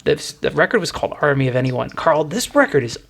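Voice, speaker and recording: A man's voice: fairly high pitch, sing-song, fast, vocal fry, fairly bright tone. A man hosting a music podcast, chatting casually in an enthusiastic, opinionated way. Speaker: podcast host.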